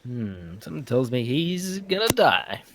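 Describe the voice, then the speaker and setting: A man's voice: medium pitch, very animated, measured pace, a little hiss or hum. A man co-hosting a livestream, trading jokes and quips while watching a show. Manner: joking, quips and mock lines. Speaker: streamer co-host. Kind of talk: casual watch-along chat.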